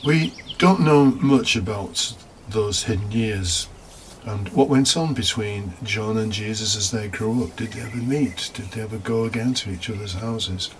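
Low-voiced man preaching a homily. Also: unhurried, few pauses, rough recording. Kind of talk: homily, part scripted with asides. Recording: faint background noise.

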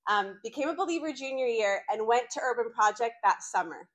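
A woman's voice: on the high side, animated, a little fast, a bit bright. A woman in her late thirties from North Carolina, chatting informally to college students in a faith talk. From North Carolina, United States. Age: late 30s. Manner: chatty and conversational, frequent "like" asides. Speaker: campus ministry speaker.

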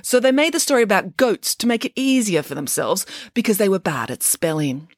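A woman's voice: mid-range voice, lively intonation, brisk, no echo, clean studio sound, lightly acted. A woman voicing a confident, dryly witty pig nanny telling a storybook tale with strong opinions. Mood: opinionated. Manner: self-assured, opinionated.

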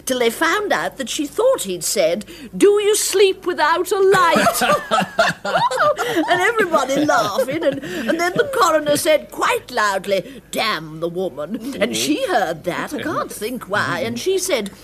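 Swooping, high-voiced older English duchess. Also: female voice, a little slow, crowd noise. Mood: amused storytelling. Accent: upper-class English. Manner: animated storytelling, mimicking people she quotes. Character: chatty aristocratic dowager duchess, gossipy, theatrical, older English mother. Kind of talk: radio drama acting.

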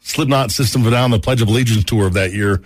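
A man's voice: lowish voice, fast, husky, dry recording. A man hosting a morning radio show, chatting and teasing his guests in a casual, upbeat way from the studio.

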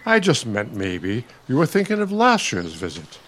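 An actor with an American accent playing a grumpy, needling older husband in a radio play, with a dry, sardonic manner. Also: male voice, medium pitch, huge pitch swings, normal speed, fairly bright tone. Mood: testy.

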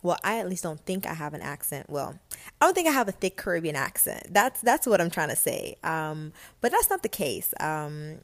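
A woman's voice: deep voice, sing-song, moderate pace. A young woman from Guyana with a mostly American-sounding accent, hosting a casual solo podcast, chatty and laughing at herself. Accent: light American, Guyanese traces. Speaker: podcast host.

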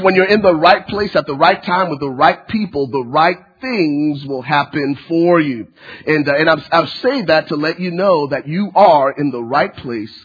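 Guest preacher, man from Liberia, fervent and repetitive, husky slightly high voice, lo-fi church audio. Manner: fervent preaching with repeated phrases and many fillers. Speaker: church-planting pastor, guest speaker. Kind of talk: sermon.

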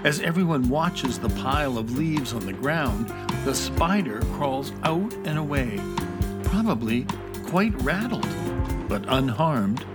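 A man's voice: mid-range voice, expressive, a little slow. Male storyteller over plucked-string music. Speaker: narrator. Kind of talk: scripted storybook narration for children.

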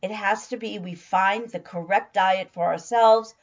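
A middle-aged woman with a hoarse voice, giving an emphatic motivational talk as a weight-loss coach and repeating phrases for stress. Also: mid-range voice, fairly even intonation, unhurried, muffled recording.

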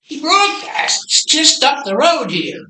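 An amateur actor playing a grizzled, folksy old gas station attendant hawking souvenirs in a radio play. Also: male voice, very high-pitched, very animated, slow pace, pushed and bright, a little hiss or hum, exaggerated voice. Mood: folksy, eager. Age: older. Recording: roomy echoey amateur recording.

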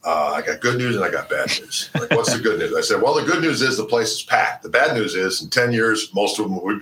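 A middle-aged man, a musician, chatting casually and telling stories with halting restarts. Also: fairly low pitch, animated, pushed and bright, echoey room, slight background noise. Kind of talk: casual chat.

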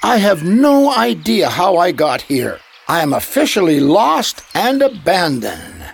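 Guy doing grumpy old widower, gravelly, pitch jumps around, radio play, faint noise behind. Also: wildly swooping pitch, measured pace, exaggerated voice. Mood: wary, curious. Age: older. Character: grumbling, wary, lost old widower. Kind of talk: audio drama acting.

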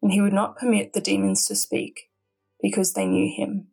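A woman's voice: mid-range voice, flat delivery, normal speed, creaky, a little mellow, breathy edge. A woman, a religious sister, reads a Gospel passage aloud as scripture. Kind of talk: scripture reading. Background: background music.

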